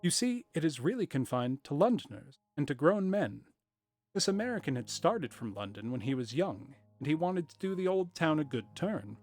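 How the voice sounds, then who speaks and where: A man's voice: on the high side, sing-song, normal speed, darkish tone, soft background music. A man reading aloud, voicing a talkative middle-aged shopkeeper in a put-on period accent as he tells his story.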